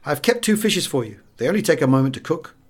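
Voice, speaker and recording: A man's voice: fairly high pitch, sing-song, fast, slightly rough. A man voicing an anxious, deferential restaurant waiter in an audiobook, apologetic and pleading. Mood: apologetic, coaxing.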